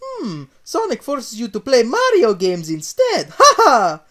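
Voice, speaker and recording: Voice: medium pitch, wildly swooping pitch, measured pace, a bit bright, voice pushed up. A reader voicing a cheerful cartoonish plumber with a put-on Italian accent, exclaiming in a sing-song way. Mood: giddy. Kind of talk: comedic fan fiction reading.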